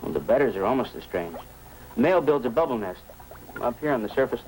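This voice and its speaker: Quick, chatty actor as a fish hobbyist, old radio. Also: male voice, medium pitch, animated, darkish tone, lightly acted, rough recording. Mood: enthusiastic. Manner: talkative, folksy, hesitant asides. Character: friendly, chatty, eager male tropical fish hobbyist, crime witness. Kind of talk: 1950s radio drama acting. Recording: old radio recording with background noise.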